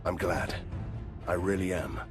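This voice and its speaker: Low-voiced, wry monster hunter. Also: male voice, a little slow. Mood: earnest, subdued. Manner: dry, sardonic, resolute. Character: wry, steely male monster hunter, sardonic hero. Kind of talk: dramatic video game acting. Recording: loud music under the voice.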